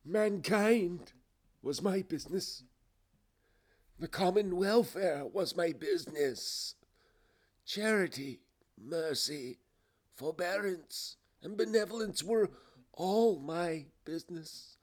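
Storybook phantom: anguished, remorseful, declamatory, pitched high with long drawn-out cries. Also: male voice. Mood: impassioned remorse. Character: tormented male ghost, wailing, preachy, remorseful old business partner. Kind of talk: dramatised reading of a classic ghost story.

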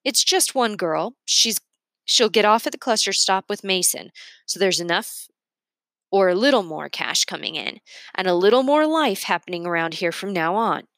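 A woman voicing a firm, practical grandmother, older, with a brisk no-nonsense manner. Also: medium pitch, animated, a bit bright, no echo, clean studio sound. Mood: brisk, decided.

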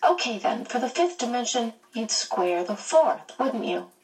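Woman reading a precocious small boy; raised pitch, patient and teacherly. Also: expressive, measured pace, distant mic. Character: precocious, calm little boy.

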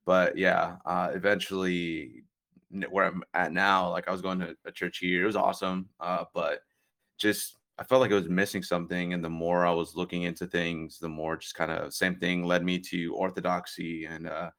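A man's voice: low-pitched, level tone, normal speed, creaky, fairly bright tone. A young adult man chatting casually as a podcast guest, rambling with lots of "like" and "uh" and frequent restarts. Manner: rambling, many fillers and restarts. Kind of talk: casual conversation.

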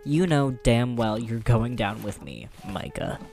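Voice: mid-range voice, very animated, unhurried, mellow, soft background music. An actor playing an upbeat, goofy young man, a generous friend who chats playfully in a casual audio drama. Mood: mock-indignant. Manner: playful, chatty, mock-indignant.

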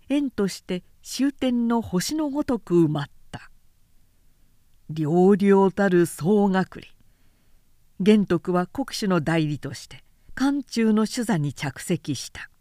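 A woman's voice: medium pitch, mellow, no echo, studio quality. A woman narrating a literary audiobook in a composed, dramatic storytelling manner.

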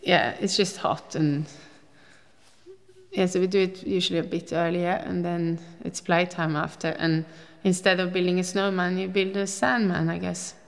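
A woman in her fifties with a Norwegian accent talks in a rather low voice. She chats freely in a casual interview and rambles through her recollections. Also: fairly even intonation, normal speed, creaky. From Norway. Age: 50s. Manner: chatty, rambling with restarts and laughs.

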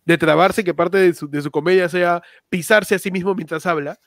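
A man's voice: high voice, fairly even intonation, moderate pace, dry recording, clean studio sound. A man chatting casually about stand-up comedy, rambling, restarting often and using lots of slang. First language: not Spanish.

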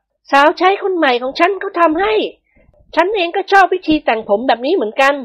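A woman's voice: high-pitched, lively intonation, a little fast, fairly bright tone, highs cut off, pitched above the performer's own voice. A woman voicing a genteel wealthy lady of the house who speaks sweetly and politely in a storybook reading.

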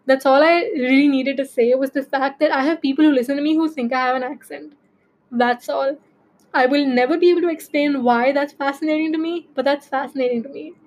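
A woman's voice: sits high, fairly even intonation, a little fast, darkish tone, rough recording. A young woman from India with an Indian accent, hosting a casual solo podcast and talking in a rambling way with lots of fillers. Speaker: podcast host.

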